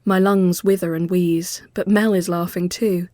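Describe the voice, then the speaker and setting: A woman reading a literary ghost story as scripted narration, measured and often hushed as phrases end. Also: fairly low pitch, flat delivery, no room sound, clean studio sound.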